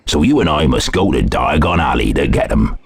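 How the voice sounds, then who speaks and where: Casting: big friendly gamekeeper for a game, extremely deep, lively swings, folksy. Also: male voice, brisk, a little hiss or hum. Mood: instructive. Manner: folksy, clipped casual speech. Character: big gruff friendly gamekeeper man, caring. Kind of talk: video game character acting. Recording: music behind the voice.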